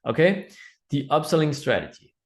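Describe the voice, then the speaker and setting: A man's voice: on the high side, big pitch swings, normal speed. A man with a Danish accent presents a live online training in an informal, explanatory way, often checking in with listeners. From Denmark.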